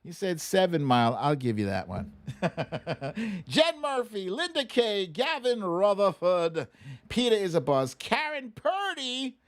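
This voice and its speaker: A man's voice: high voice, very animated, slow. A middle-aged man hosting a live stream, chatting casually and playfully as he runs a guessing game and reads out names. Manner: playful, hums and recites lyrics. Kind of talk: casual chat, running a song guessing game and reading out names.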